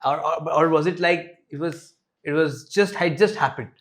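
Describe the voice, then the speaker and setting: A man's voice: fairly high pitch, normal speed. A man with an Indian accent hosting a casual interview, asking questions and giving short acknowledgements. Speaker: podcast interviewer, host.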